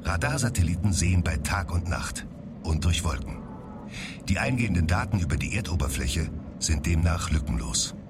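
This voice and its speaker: A man delivers factual documentary narration in a very deep voice. He sounds set back from the microphone, and loud music plays over the orderly, scripted read. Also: lively intonation, normal speed. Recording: distant voice under loud music.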